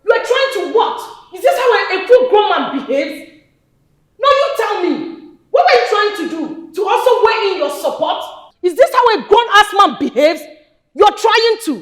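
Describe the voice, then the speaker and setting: Furious young daughter, Nigerian accent, very high voice, lively swings, sharp scolding tone. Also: female voice, normal speed. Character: angry young woman confronting her family. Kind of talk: film drama acting.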